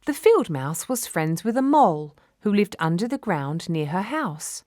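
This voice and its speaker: A woman storyteller reading a scripted children's fairy tale in a measured, expressive way. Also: on the low side, dry recording, studio quality.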